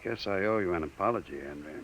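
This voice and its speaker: A man's voice: deep voice, fast talker, a bit bright. An actor playing a skeptical, commanding middle-aged male bureau chief who gives orders to his assistant, in 1950s radio drama style. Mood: grave. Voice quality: brusque, commanding. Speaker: boss. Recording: old radio broadcast with faint hiss.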